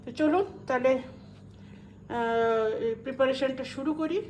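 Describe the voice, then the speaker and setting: High-pitched Indian Bengali woman chatting at home. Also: measured pace, noisy background. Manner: informal and homely, mixing in English and Hindi words. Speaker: home cooking vlogger. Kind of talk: casual chatty cooking vlog. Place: indoors at home.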